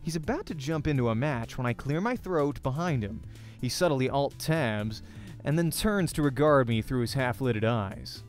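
A man's voice: mid-range voice, expressive, normal speed. A man doing a let's play, reading a visual novel's narration aloud with expression. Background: faint music.

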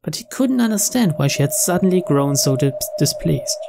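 A narrator reads visual novel text at a slow pace. The voice is medium in pitch, with music clearly underneath. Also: expressive.

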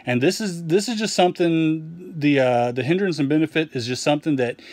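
A man explaining a tabletop game's core rules in a casual walkthrough, relaxed with frequent ums and restarts, recorded over an open rulebook on a table. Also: on the high side, a little fast, no room sound. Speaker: game publisher explaining rules.

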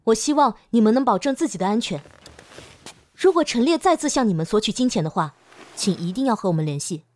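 A woman acting a composed, analytical police officer in a Chinese audio drama, speaking in an official, deliberate way. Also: a little high, expressive, darkish tone, natural voice. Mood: serious, advising.

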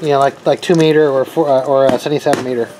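Man, casual hands-on demo host, mid pitch, informal, thinking aloud, noisy home camera recording. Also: fairly even intonation, very fast. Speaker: host of electronics and radio unboxing videos. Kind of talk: casual chat, hands-on demonstration. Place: indoors at a cluttered desk. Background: clear background noise.

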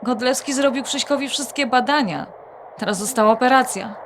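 A woman's voice: sits high, fairly quick, background noise. An actress playing a worried, wry adult daughter confiding her troubles in a naturalistic audio drama. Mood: distressed, confiding.

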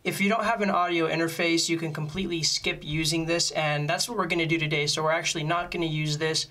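A man presents a casual step-by-step tutorial. His voice sits a little high for a man and has a bright, forward edge. He explains each point plainly, as an informal instructor would. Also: steady tone, quick pace. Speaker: music gear tutorial presenter.

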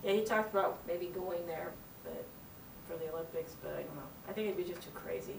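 A woman's voice: on the low side, brisk, vocal fry, roomy sound, far from the mic. A woman interviewing an older man, chatty and curious with follow-up questions, heard from away from the mic. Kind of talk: casual interview chat. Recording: off-mic with noisy background.

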